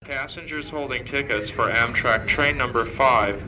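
A man as a train station announcer, making a slow, formal public address announcement. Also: medium pitch, close to an everyday voice. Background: station and vehicle noise.

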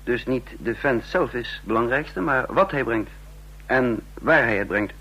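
Dry, husky spy chief. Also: male voice, medium pitch, expressive, a little slow, pushed and bright, heavily acted, rough recording. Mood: brisk. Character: self-assured, dry intelligence chief narrating his own spy story. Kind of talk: Dutch radio play acting and narration.

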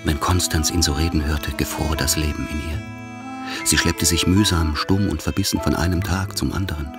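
A man narrating a literary radio drama in a measured, deliberate way. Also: extremely low pitch, steady tone. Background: instrumental music.

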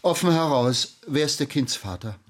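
Guy playing stern suspicious old-time magistrate, formal and accusing, bit husky, radio play. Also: mid-range voice, lively intonation, normal speed, natural voice. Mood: inquiring. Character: stern, suspicious male magistrate interrogating suspects. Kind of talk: German radio drama acting in period speech.

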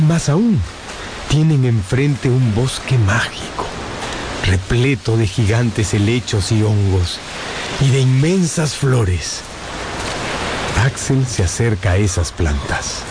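A man with a medium-pitched voice narrates a scripted drama. He tells it with suspense and emphatic short phrases, over clear background music.

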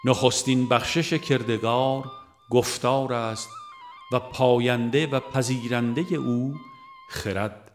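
Formal male audiobook narrator over music. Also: medium pitch. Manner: formal, measured recitation. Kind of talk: scripted narration of a religious book.

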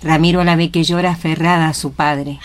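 A woman's voice: low-pitched, fairly even intonation, fairly quick, background noise. A woman reading scripted, dramatic narration for a radio play.

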